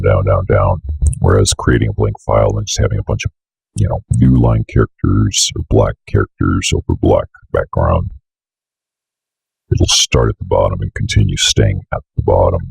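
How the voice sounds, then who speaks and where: A young man giving a casual, offhand screencast tutorial with some swearing, in a very deep, fry-laden voice close to a desk mic. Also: fairly even intonation, normal speed, darkish tone, no room sound, poor audio. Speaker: tutorial host. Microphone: close desk microphone on an arm.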